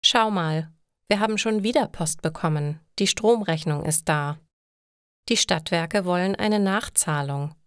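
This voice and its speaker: A woman's voice: lowish voice, unhurried, clear, resonant tone, dry recording, clean studio sound, natural voice. Narrator playing a thrifty, practical woman. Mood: exasperated. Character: practical, thrifty woman fretting over household bills.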